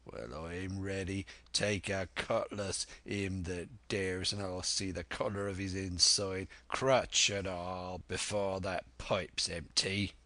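Old pirate sea cook, slow drawl, low pitch, menacing, commanding, storybook villain. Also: male voice, level tone, pushed and bright, no echo, exaggerated voice. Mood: scornful challenge. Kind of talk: dramatic audiobook character voice.

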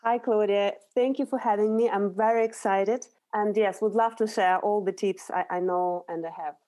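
A middle-aged woman with a Russian accent talks through her routines and background as a podcast guest. Her voice is mellow and soft-edged, sitting at an ordinary middle pitch. She explains things earnestly and often restarts a phrase before going on. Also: fairly even intonation, normal speed. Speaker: guest, nutritional therapist. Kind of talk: conversational interview answers.

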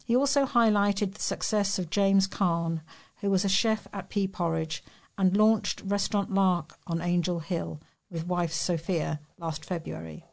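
A woman's voice: lowish voice, gravelly, a little mellow. A woman reading local news articles aloud as a volunteer reader.

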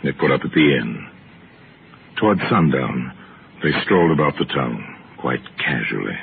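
A man narrating an old-time radio mystery as host, measured and storytelling in his delivery. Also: very deep voice, expressive, vocal fry, a little hiss or hum. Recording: tinny old radio broadcast.

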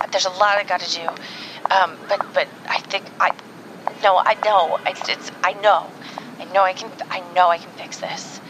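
Woman acting female agent, mid pitch, halting, breathless, determined, muttering, audio drama, noisy ambience. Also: lightly acted. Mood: amazed, shaken. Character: rattled, determined female agent recording a log. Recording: vehicle-like ambient noise behind.

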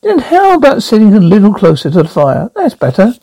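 Cackling ghoul host; shrill, gravelly, macabre puns, big swoops. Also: male voice, wildly swooping pitch, fairly quick, mellow, dry recording, exaggerated voice. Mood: gleeful. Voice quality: raspy, shrill. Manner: punning, theatrical, relishing the macabre. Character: cackling ghoulish male horror host, gleeful. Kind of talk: horror TV drama.

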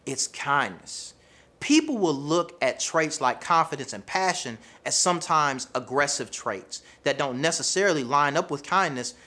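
A man's voice: fairly high pitch, bright, forceful tone. A man with a Southern accent giving scripted self-help advice to viewers, calling them fam and y'all. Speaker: charisma coach.

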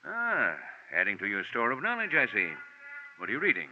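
A man's voice: medium pitch, huge pitch swings, fairly quick, a little hiss or hum. A stuffy, blustering older office boss in vintage radio drama. His intonation swings widely as he fusses and repeats himself. The recording is old and muffled. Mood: hearty. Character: fussy, pompous older insurance general manager. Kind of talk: 1940s radio drama acting.

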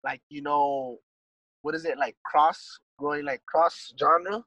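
A man's voice: a little high, fairly even intonation, slow, sharp tone, rough recording. A man and musician guest in a casual conversation, talking in a laid-back, rambling way with lots of swearing and "dude". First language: English.

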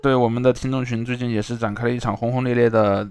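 Brisk male podcaster, close dry sound. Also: mid-range voice, level tone, darkish tone, clear, resonant tone, no echo. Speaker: podcast host. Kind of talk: casual panel chat.

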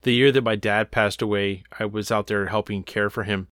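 A man's voice: lowish voice, steady tone, moderate pace, fairly bright tone, no echo, clean studio sound. A man hosting a casual conversation, earnest and emotional, often hesitating mid-sentence.

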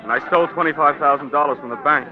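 An actor playing a despairing, meek young American bank cashier in a plaintive 1940s radio drama style. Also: male voice, a little high, fast, lightly acted. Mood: miserable, guilty. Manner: pleading, plaintive. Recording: muffled 1940s radio recording, music behind.

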